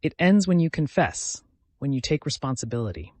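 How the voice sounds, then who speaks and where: A man voicing a vengeful, calculating woman in an audiobook, deliberate and accusatory, with bitterly controlled grief. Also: medium pitch, very animated, a little mellow, dry recording. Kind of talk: dramatic audiobook character reading.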